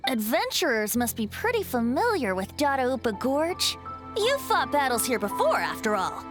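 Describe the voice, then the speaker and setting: A woman's voice: sits high, sing-song, moderate pace. A woman voicing a playful, wistful young male bard who teases and muses in a game cutscene, with faint music behind. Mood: teasing. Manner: teasing and musing, lightly poetic.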